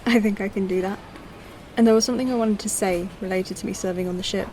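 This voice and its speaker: A woman acting as a plucky young human spacefarer in a sci-fi audio drama. She talks quickly at a middle pitch, direct and understated, with slight ambience behind. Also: fairly even intonation, warm tone, a little hiss or hum, close to an everyday voice, low-quality recording. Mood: hopeful.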